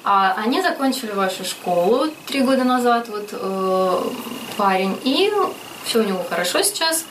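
A woman's voice: medium pitch, lively intonation, moderate pace, far from the mic, a little hiss or hum. A woman guest in a casual interview, chatting with frequent laughs and hesitant fillers.

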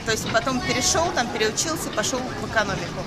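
Brief: middle-aged woman, slightly high voice, hesitant, outdoors with a loud crowd. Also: lively intonation, fast talker. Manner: hesitant, lots of fillers. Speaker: new arrival, accountant. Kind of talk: casual interview chat. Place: outdoors at an airport baggage area.

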